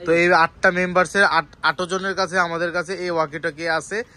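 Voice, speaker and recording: A man's voice: sits high, level tone, fairly quick, crowd noise. A Bangladeshi man co-hosting a casual product promotion, eagerly asking questions and echoing the seller's points. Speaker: promotional co-host from the toy shop.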